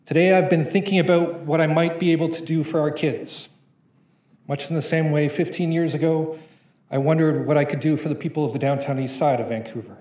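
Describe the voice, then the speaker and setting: A young man reads his spoken-word poem aloud from notes at a podium mic. His voice sits slightly high, and his delivery is rhythmic and playful. The conference room around him is echoey. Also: fairly even intonation, brisk, a little mellow. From Canada. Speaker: poet.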